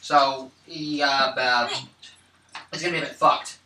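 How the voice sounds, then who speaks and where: A man's voice: mid-range voice, fairly even intonation, a little slow, sharp tone. A man chatting casually and jokingly as a player at a tabletop game. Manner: joking, blunt. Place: indoors at a gaming table. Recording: echoey room, distant mic, faint noise.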